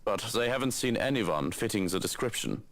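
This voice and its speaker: A man's voice: on the low side, lively intonation, a little fast, a bit bright. A man voicing a sly male servant with a mock-formal, teasing manner and a light German flavor. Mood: matter-of-fact.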